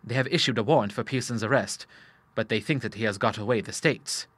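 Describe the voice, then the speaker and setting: A man's voice: on the low side, fairly quick, a bit bright, dry recording. A man voicing an earnest young businessman in money trouble who speaks formally and with feeling.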